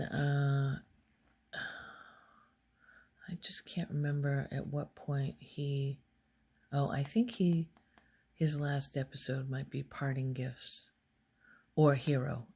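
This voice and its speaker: A soft-spoken woman recording casual ASMR, rambling in a hushed voice and musing aloud as she goes. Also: very deep voice. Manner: hesitant, musing aloud, trailing off. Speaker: ASMR video creator.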